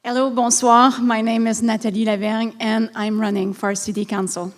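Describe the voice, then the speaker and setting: A woman's voice: fairly high pitch, level tone, normal speed. A woman from Montreal with a Quebec French accent, reading a prepared speech as a candidate at a city council debate. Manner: earnest, reads a prepared statement.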